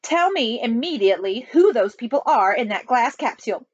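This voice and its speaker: A woman playing a silly, excitable, self-important male American president in a storybook. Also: a little high, moderate pace, highs cut off. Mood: commanding.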